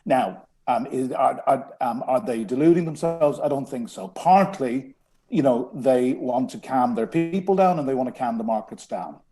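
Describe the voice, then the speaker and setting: Mellow-voiced Dublin Irishman, halting. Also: medium pitch, lively intonation. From Ireland. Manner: earnest, lots of hesitations and restarts. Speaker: guest, former strategic communications advisor. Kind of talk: radio interview.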